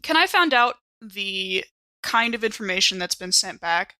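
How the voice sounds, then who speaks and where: A woman with a bright, forward voice, recorded close and dry. She talks as a player in a tabletop role-playing game, composed and articulate as she slips between table talk and her character. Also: mid-range voice, expressive, normal speed, dry recording, rough recording. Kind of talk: conversational in-game role-play.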